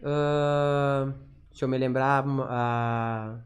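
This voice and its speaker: Male podcast guest, southern Brazilian accent, informal explainer with a halting, searching delivery. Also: medium pitch, fairly even intonation. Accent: southern Brazilian, Rio Grande do Sul. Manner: halting, repeats words while recalling.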